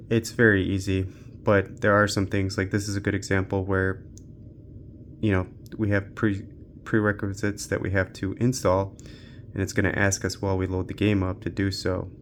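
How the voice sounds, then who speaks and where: A man's voice: on the low side, slight background noise. A man giving an unscripted, casual tech walkthrough, thinking aloud informally as he tests games on a handheld. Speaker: tech reviewer hosting a handheld gaming channel.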